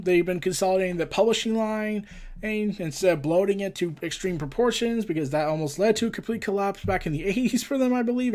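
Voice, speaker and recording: A man hosting a casual entertainment news roundup, rambling and halting, often tripping over his words and losing his thread. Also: high voice. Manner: scattered, halting, often tongue-tied and losing track. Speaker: host of a comics and entertainment news show.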